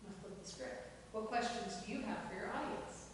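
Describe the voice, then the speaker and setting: A woman's voice: medium pitch, fast. A woman moderating a feedback discussion, asking open questions and animatedly acting out imagined quotes. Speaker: moderator of a play feedback session. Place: echoey indoor room, group gathering. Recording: echoey room, distant mic, faint noise.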